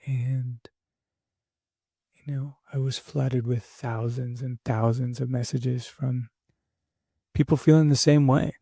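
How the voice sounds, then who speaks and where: Hoarse young man, gravelly, flat delivery, dry close sound, halting and candid. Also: mid-range voice, dry recording. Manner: halting, candid, many fillers and restarts. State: losing his voice, hoarse. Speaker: podcast host. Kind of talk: reflective personal monologue.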